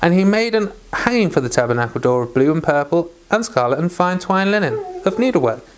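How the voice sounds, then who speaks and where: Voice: mid-range voice, very animated, brisk. A person reading Bible scripture aloud as a read-along, occasionally stumbling over and repeating words. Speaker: Bible reader.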